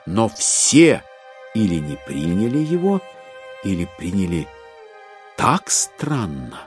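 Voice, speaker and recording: An actor playing a wry, ironic narrator, reading literary prose in a measured way for an audio drama. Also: male voice, on the low side, darkish tone.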